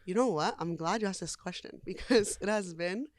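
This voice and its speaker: A woman's voice: lowish voice, moderate pace, no echo. A young adult woman, a singer, talking casually in an interview, chatty with lots of "like" fillers and easy laughter.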